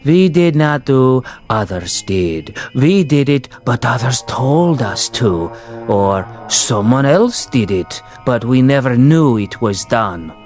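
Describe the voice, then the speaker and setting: An actor playing a grim, relentless accuser confronting his former tormentor in a classic radio drama, measured, mocking and solemn. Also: medium pitch, lively intonation, a little mellow, faint music behind. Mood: grave, condemning.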